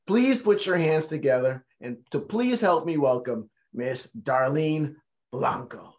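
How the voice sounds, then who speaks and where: A man serving as moderator, reading a formal, measured introduction of the next panelist. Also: on the high side, lively intonation, a little mellow. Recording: muffled lo-fi audio.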